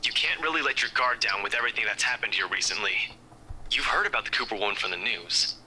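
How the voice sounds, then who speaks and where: Man voicing a bright, forceful young cop. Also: fairly low pitch, fast talker, slight background noise, pitched above the performer's own voice, poor audio. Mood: concerned, chiding. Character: earnest young male police friend, concerned and chiding. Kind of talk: visual novel dialogue read aloud.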